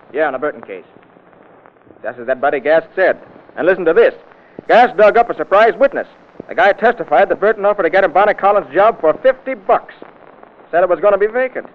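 Urgent, slangy male reporter, old-time radio drama. High voice, clipped delivery, thin vintage sound. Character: brisk, slangy newsman phoning in a hot scoop. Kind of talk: 1940s-50s radio drama acting. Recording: tinny, band-limited vintage radio recording.

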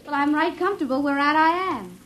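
A woman's voice: high-pitched, lively intonation, fast. A woman playing a stubborn runaway backwoods girl with a folksy Ozark drawl in an old radio drama. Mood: artless, teasing. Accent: rural American South, Ozarks. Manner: folksy, drawling dialect speech. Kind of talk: 1950s radio drama acting. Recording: muffled, noisy vintage radio recording.

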